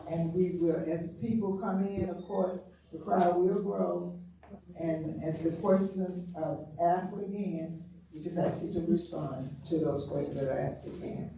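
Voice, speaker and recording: High pitched mellow guy running a forum, rambly with lots of uhs, far off mic, echoey hall. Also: steady tone, a little slow, a little hiss or hum. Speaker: forum moderator. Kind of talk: introducing a speaker at a forum. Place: meeting hall.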